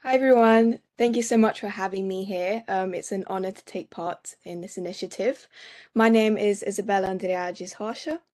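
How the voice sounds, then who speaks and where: A woman's voice: mid-range voice, steady tone, normal speed, dark tone. A young woman speaks as a podcast guest. Her tone is chatty, and she fills her sentences with frequent "um"s. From United Kingdom. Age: young adult.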